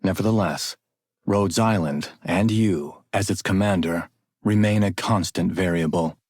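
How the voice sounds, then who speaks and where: Solemn, husky low-voiced gentleman. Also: measured pace, no room sound, clean studio sound. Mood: grave. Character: solemn, formal, courteous man with measured, stately speech. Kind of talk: video game voice line acting.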